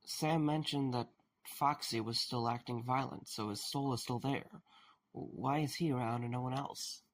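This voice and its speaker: A man playing a wary, blunt questioner in an audio drama, with a gravelly, husky voice and a probing, sardonic manner. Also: mid-range voice, steady tone, unhurried, close to an everyday voice. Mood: curious, pressing.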